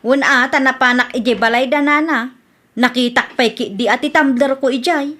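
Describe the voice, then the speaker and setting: A woman's voice: sits high, steady tone, normal speed, a bit bright. A Philippine-accented woman playing an assertive, aggrieved village woman, confrontational and insistent, in a comedy radio drama.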